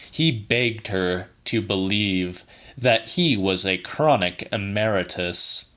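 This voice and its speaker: A man narrating a literary audiobook in a dramatic, theatrical, deliberate manner. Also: fairly low pitch, bright, forceful tone.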